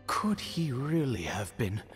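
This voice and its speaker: An actor playing an anguished, fanatical father in a video game, speaking in a halting, dramatic way and repeating words with frantic urgency. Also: mid-range voice, sing-song, soft background music. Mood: reverent, brooding. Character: anguished fanatical father searching desperately for his son.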